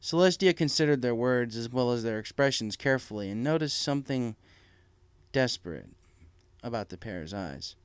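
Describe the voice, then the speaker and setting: A man's voice: medium pitch, lively intonation, moderate pace, clean tone, no room sound. A man reading scripted story narration as a storybook narrator.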